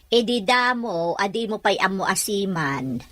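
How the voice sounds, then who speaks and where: A woman's voice: mid-range voice, lively intonation, unhurried, a bit bright, no room sound. A woman playing a caring, outspoken older mother in a radio drama. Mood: scolding.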